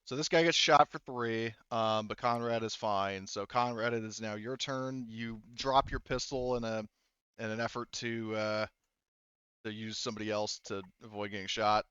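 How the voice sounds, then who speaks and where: Smooth clear guy game mastering, chatting rules and narrating, kinda lo-fi mic. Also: on the low side, steady tone, normal speed, pushed and bright. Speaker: game master of a tabletop roleplaying game. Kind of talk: casual rules talk and narration during a tabletop game.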